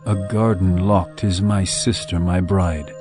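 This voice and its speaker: An actor playing an adoring royal bridegroom in a dramatized scripture reading, with a measured, tender and lyrical delivery. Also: male voice, low voice, fairly even intonation, over music. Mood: tender, enraptured.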